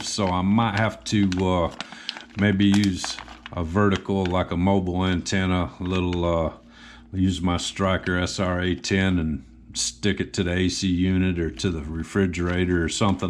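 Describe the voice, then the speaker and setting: Low-pitched man, casual gear walkthrough. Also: slight background noise. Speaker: hobbyist video host. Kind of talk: casual show-and-tell of electronics gear.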